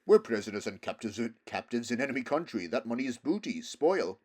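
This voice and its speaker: A man's voice: medium pitch, very animated, a little fast, a little mellow. A proud talking horse from a storybook, played by a man. He speaks in a pompous, emphatic way, lecturing like a worldly elder companion. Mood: lofty, matter-of-fact. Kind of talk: storybook character voice.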